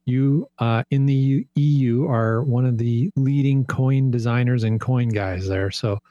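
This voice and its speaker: A man's voice: mid-range voice, measured pace, mellow, no room sound, dull audio. A man hosting a podcast, asking questions in an upbeat, chatty way. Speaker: podcast host. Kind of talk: casual interview chat.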